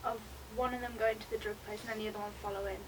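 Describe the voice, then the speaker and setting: A woman's voice: medium pitch, fairly even intonation, very fast. A young adult woman, a classmate giving casual feedback and asking questions. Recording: noisy room recording.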